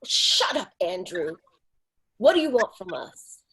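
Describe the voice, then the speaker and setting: Male reader doing a scheming saloon woman, high falsetto-ish, husky, snappish. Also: big pitch swings, slow pace, pushed and bright, exaggerated voice. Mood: hostile. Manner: snapping, contemptuous.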